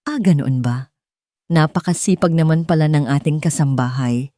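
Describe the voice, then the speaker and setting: A woman narrator playing a smug businessman in an audiobook drama. He talks down with false kindness in a low, mellow, dry-recorded voice. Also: moderate pace, no echo, lightly acted. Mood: mocking. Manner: condescending, mock-friendly. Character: smug, falsely kind rich businessman cousin.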